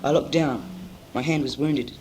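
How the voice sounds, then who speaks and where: A man's voice: a little high, steady tone, moderate pace, natural voice. A teenage boy playing a weary, solitary man who tells his story in scripted first-person narration on a noisy amateur cassette recording. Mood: grave. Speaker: narrator and lead in a school drama.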